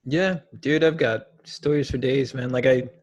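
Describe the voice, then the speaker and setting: Young male podcast guest, husky, loose and candid, slangy delivery. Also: mid-range voice, a little slow. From Minneapolis, USA. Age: young adult. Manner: animated, blunt, uses slang like dude. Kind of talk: casual conversation.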